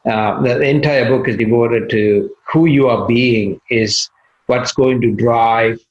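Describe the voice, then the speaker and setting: A man from Sri Lanka, a cardiologist guest, explaining things in a measured way with many pauses and fillers. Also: medium pitch, steady tone, dull audio. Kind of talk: interview answers.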